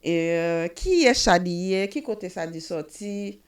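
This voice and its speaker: A woman's voice: lowish voice, expressive, slow, smooth. A woman hosting a casual interview, hesitant with many fillers. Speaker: talk show host.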